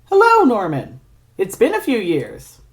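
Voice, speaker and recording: A performer as a gentle, earnest man. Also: medium pitch, wildly swooping pitch, measured pace, echoey room, voice pushed up. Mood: strained friendliness. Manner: friendly, soft-spoken, mildly scolding. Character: gentle, earnest male companion trying to keep peace.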